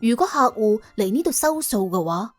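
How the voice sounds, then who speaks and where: A woman's voice: mid-range voice, animated, moderate pace. A woman with a Cantonese accent narrating an audiobook as dramatic, wry storytelling. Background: soft background music.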